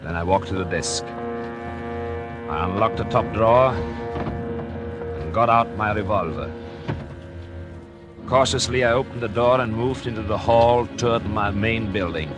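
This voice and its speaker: An American actor playing a calculating, murderous doctor in an intense, deliberate first-person narration for a 1940s radio suspense drama. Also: male voice, fairly low pitch, lightly acted. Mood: tense, stealthy. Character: calculating murderous husband, a doctor, smug then horrified. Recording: 1940s radio recording with music behind.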